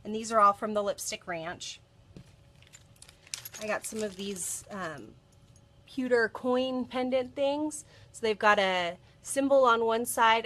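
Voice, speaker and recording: A woman's voice: medium pitch, a bit bright. A woman in her thirties hosting a casual live stream from a cluttered office, a bit congested from a cold and chatty with lots of ums. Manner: chatty, many ums and restarts. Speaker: bead shop video host. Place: cluttered office workroom, indoors.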